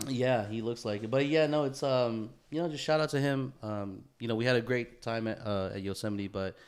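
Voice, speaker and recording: A man chatting casually as a podcast host, telling stories in an animated, slangy way and swearing freely. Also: mid-range voice, fairly quick. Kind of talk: casual chat, storytelling.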